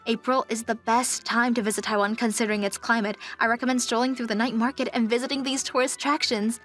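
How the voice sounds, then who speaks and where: A helpful young woman travel advisor from a manga dub, acted by a woman, talks in a fairly high, courteous customer-service voice. She is eager and polite, over soft background music. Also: fairly even intonation, fast, close to an everyday voice. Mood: enthusiastic. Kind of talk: English manga dub acting.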